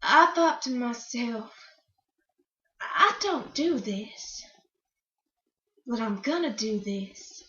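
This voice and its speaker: In a roomy recording, a woman acts out a dreamy yet defiant female country singer in a stage monologue. Her voice is very high and bright, and she tells her story in a folksy, dramatic way with hesitant pauses. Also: expressive, exaggerated voice. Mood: confiding. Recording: roomy, slightly dull recording.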